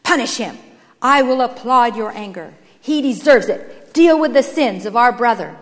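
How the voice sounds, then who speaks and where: A woman voicing a fault-finding, self-righteous younger brother, loud, smug and accusatory. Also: fairly high pitch, sing-song, moderate pace, big-room sound. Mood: accusing, gloating.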